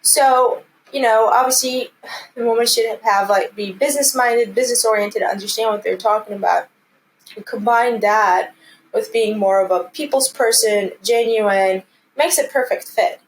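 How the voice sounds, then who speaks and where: A woman's voice: on the high side, normal speed. Earnest woman in a noisy interview recording. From Armenia. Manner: earnest, encouraging. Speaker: interview guest, commercial real estate team lead. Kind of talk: conversational interview answers.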